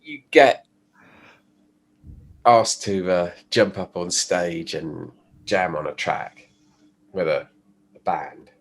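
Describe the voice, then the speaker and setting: A man with a stuffy cold, explaining his work in a relaxed podcast chat with fillers and restarts. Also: deep voice, slow pace, creaky, long pauses. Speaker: guest, pickup designer. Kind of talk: casual podcast conversation.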